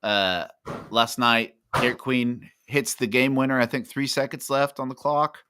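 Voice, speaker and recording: Bright-voiced man telling stories. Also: medium pitch, steady tone, unhurried, smooth. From Texas. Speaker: co-host. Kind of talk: casual chat.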